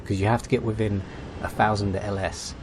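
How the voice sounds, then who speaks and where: Low-voiced man narrating a gaming guide. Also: flat delivery, brisk, noisy background. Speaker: gaming video host. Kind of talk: casual game guide commentary.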